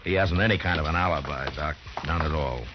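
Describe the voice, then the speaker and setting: An actor playing a stern, middle-aged frontier marshal in an old radio western, speaking in a terse, measured, authoritative way. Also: male voice, a bass voice. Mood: easygoing, grave. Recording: noisy, dull old radio drama recording.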